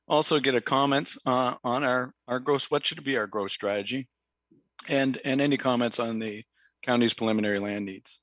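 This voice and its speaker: A slightly hoarse man gives a planning presentation to a council meeting, halting often with fillers and restarts. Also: mid-range voice, a bit bright, dry recording. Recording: dull, dry lo-fi meeting audio.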